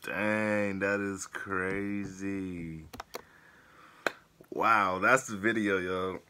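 A young American man with a deep but forceful, bright voice, stuffed up from a head cold. He chats casually to his viewers as a reaction vlogger. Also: level tone, very slow, a little hiss or hum. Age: 20s. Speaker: YouTube reaction host. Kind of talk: casual vlog chat and reactions. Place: small wood-panelled bedroom.

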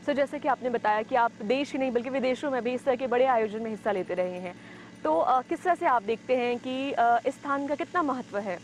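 Higher-voiced woman interviewer. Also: a little fast, rough recording. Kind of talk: polite on-location interview questions with hesitations. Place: open grassy field in the mountains.

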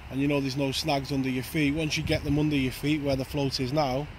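Man, 30s, even monotone riverside fishing commentary, light outdoor noise. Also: mid-range voice, fairly quick. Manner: plain, steady running commentary. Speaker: angling vlogger.

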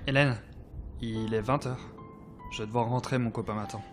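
A man playing a caring, polite, soft-spoken assistant in an audio drama. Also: medium pitch, fairly even intonation, moderate pace, close to an everyday voice. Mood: concerned, kind. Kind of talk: French audio drama acting. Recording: music behind the voice.

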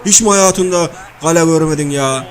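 Man doing a high-pitched blustering comic loudmouth. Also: normal speed, natural voice. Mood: bossy, heckling. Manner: slangy, blustering, nagging, repeats phrases. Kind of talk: comic video-game banter and match commentary.

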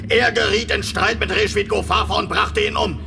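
Radio drama alien native, indignant and stern, higher male voice, very gravelly, music under. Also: fairly even intonation, moderate pace, bright, forceful tone, heavily acted. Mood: accusing. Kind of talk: German radio drama acting.

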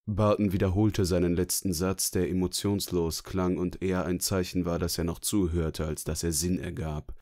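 A man's voice: deep voice, flat delivery, normal speed, hardly any pauses, warm tone. A man reading scripted narration from a novel. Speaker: audiobook narrator.

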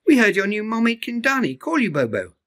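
Man voicing a friendly high-pitched baby elephant. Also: sing-song, fairly quick, fairly bright tone.

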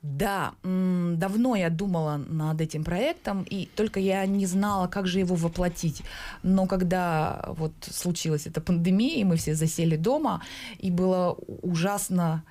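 Hesitant woman actress, low-voiced. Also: moderate pace, mellow. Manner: hesitant, many fillers and restarts, laughs often. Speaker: stage actress, studio guest. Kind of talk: live radio and TV studio interview. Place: small radio studio. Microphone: studio boom mic.

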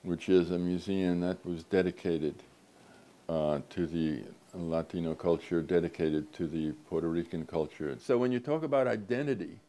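An older man answers interview questions in a very deep voice. He speaks firmly and stresses his points, repeating himself for emphasis. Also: warm tone. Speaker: museum founder interviewed.

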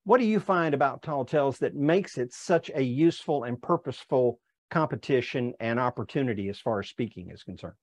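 An older man presenting in an online club session, speaking emphatically and deliberately and repeating phrases for effect. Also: mid-range voice, animated, no echo. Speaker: workshop presenter. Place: indoor room on a video call. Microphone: clip-on lapel mic.

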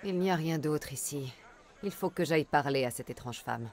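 An actress playing a tough, self-assured female mercenary in a video game dub, speaking in a measured, firm way. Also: low voice, background noise. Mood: pensive, investigating. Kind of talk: dubbed video game dialogue.

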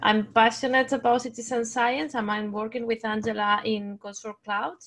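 An eager woman from Spain speaks a little quickly at a somewhat high pitch. Her tone stays even and does not rise or fall much. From Barcelona. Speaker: science communicator.

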